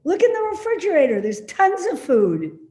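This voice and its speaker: A woman's voice: extremely high pitch, wildly swooping pitch, moderate pace, warm tone, reverberant, heavily acted. A woman acting a cantankerous, stubborn and suspicious older mother in a play reading, with a querulous, scolding manner. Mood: indignant scolding.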